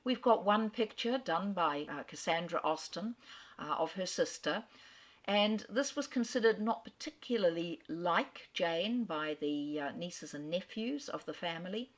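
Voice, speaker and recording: Low-voiced woman giving a literary talk. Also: expressive, measured pace. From Australia. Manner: articulate, storytelling delivery with occasional fillers. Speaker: speaker on famous authors. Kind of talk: lecture-style literary talk.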